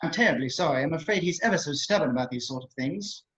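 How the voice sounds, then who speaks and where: A man's voice: on the high side, expressive, quick pace, fairly bright tone. A man voicing a fussy, anxious, polite protocol droid with prim, formal diction in an online table read. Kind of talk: amateur table read over video call. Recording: muffled video-call audio.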